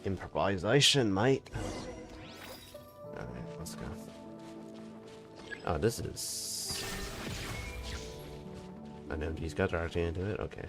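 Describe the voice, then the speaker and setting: A young man chats casually as he plays, joking and swearing now and then. His voice sits very low but swings up and down a lot. Loud game music plays behind him. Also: sing-song, slow, pauses often. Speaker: streamer. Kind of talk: live gameplay commentary.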